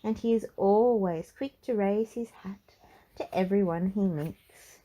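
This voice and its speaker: A woman reading a children's storybook aloud in an expressive, measured narrating style. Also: mid-range voice, rough recording. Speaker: children's book reader.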